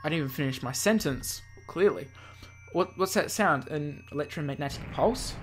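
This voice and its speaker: A man's voice: a little high, animated, normal speed. A man hosting a let's play, reading a visual novel aloud with off-the-cuff asides and stumbles over words. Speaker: gaming host. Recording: music behind the voice.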